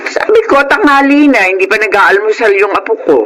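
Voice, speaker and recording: A woman's voice: mid-range voice, animated, fairly quick, a bit bright, slight background noise, close to an everyday voice, low-quality recording. An actress playing a well-meaning older grandmother in a Filipino radio drama, flustered and apologetic as she explains herself.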